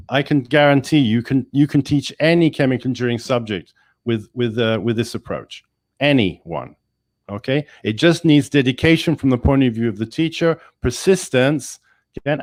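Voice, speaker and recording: Non-native english guy, fired up, keeps restarting, mid pitch, dry sound. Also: dry recording. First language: not English. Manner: energetic, emphatic, many restarts. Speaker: guest speaker, engineering professor. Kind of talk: webinar discussion.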